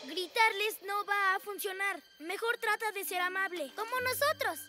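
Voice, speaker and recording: An earnest six-year-old superhero talks in a very high voice. The accent is Latin American Spanish. Loud cartoon music runs behind the lines. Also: moderate pace. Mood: encouraging. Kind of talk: animated cartoon dub acting.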